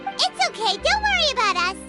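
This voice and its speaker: A tiny, squeaky fairy sidekick in a video game. The childlike voice is extremely high and swoops about with lots of animation, bubbly and curious, over clear background music. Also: female voice, big pitch swings, fairly quick, bright, forceful tone, heavily acted. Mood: cheerful. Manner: refers to self in third person, exclamatory. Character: Paimon, small childlike floating fairy companion, bubbly and curious. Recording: music behind the voice.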